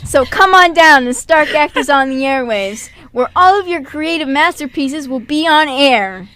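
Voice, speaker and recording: A woman's voice: sits high, expressive, moderate pace, pushed and bright. A young woman with a giggly, playful manner, chatting casually as the host of a radio show in a studio. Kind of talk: casual group chat.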